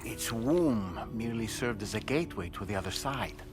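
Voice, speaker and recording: A man's voice: lowish voice, animated, lightly acted. A man playing a courteous, inquisitive researcher. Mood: inquiring. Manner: courteous and measured questioning. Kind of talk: video game cutscene acting. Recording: game audio over loud music.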